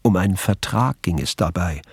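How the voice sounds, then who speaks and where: Male radio-drama narrator: wry and measured, low, husky voice, dry studio. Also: expressive, no echo, full character voice. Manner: ironic, measured. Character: wry, literary male narrator of a spy tale. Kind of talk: scripted radio play narration.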